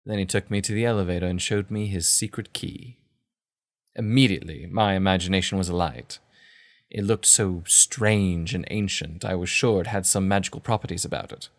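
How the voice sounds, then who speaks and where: An actor playing a shaken, reflective gentleman in a period audio drama, reading his letter in literary, measured phrases. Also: low-pitched. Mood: wistful, reflective.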